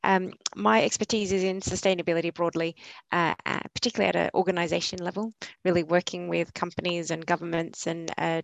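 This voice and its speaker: An Australian woman with a low, gravelly voice, speaking earnestly on a webinar panel. Her delivery stays flat and even, and the sound is dry and close. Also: dry recording, poor audio. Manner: earnest, professional. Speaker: sustainability consultant, panel guest. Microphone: video call.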